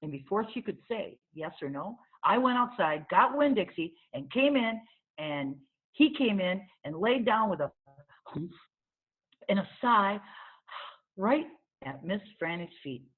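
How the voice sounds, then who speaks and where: A woman reading a children's novel aloud as storyteller, in an expressive narrating style. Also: mid-range voice, unhurried, pauses often, breathy edge, phone-quality sound.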